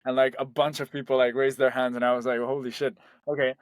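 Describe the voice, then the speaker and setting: A man's voice: medium pitch, level tone, quick pace, no echo. A young man from Spain, a startup founder, talking loosely as an interview guest and sprinkling his speech with "like" and "um". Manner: loose and conversational, heavy on "like" and "um". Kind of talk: casual interview.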